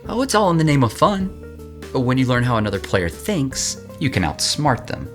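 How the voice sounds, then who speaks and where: A man plays a cocky young male hustler with a lively, swooping delivery. He coaxes and wheedles as he explains, in audio drama style, with music playing clearly behind him. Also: mid-range voice, moderate pace. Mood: sly, coaxing. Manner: animated, coaxing explanations.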